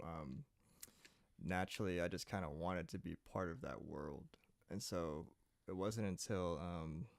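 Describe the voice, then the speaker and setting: A young man from Saipan talks in a very low voice, easy and unhurried, full of "um" and "like". The sound is close and dry, with no room to it. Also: gravelly, dry recording. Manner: relaxed, rambling storytelling with many fillers. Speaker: guest singer-songwriter. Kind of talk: casual interview chat.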